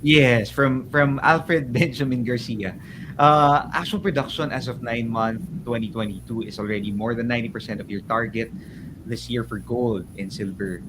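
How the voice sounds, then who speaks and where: A man's voice: medium pitch, moderate pace. A man with a Filipino accent moderating a question-and-answer session on a call, chatty and hesitant as he thinks aloud. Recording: noisy online conference call audio.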